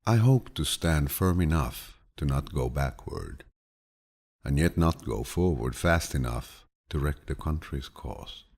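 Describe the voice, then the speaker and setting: A man narrates an audiobook of quotations in an extremely deep, gravelly voice with a husky grain. His delivery is calm and measured, like a grandfather passing on wisdom, and his intonation rises and falls expressively. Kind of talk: scripted audiobook reading of quotations.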